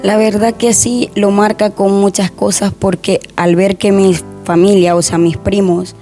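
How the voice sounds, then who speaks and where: A young woman, a guest softly and hesitantly sharing a personal testimony in an interview. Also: medium pitch, level tone, a little slow, soft background music. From Honduras.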